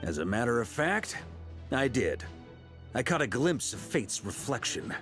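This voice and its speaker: A calm assured knight leader, lively intonation, soft music. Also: male voice, mid-range voice, measured pace. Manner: steady, assured, commanding. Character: seasoned male knight commander, calm planner. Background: game music.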